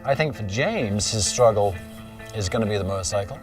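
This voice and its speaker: A man's voice: on the low side, lively intonation, a little fast. A man hosting a motorcycle documentary, explaining the plan in an earnest, matter-of-fact way. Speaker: host, motorcycle journalist. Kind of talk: documentary narration. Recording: distant mic with music bed.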